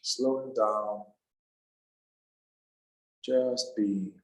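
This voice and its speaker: A man leading a guided meditation, calm and unhurried, speaking in short phrases with long pauses between them. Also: fairly low pitch, echoey room, poor audio.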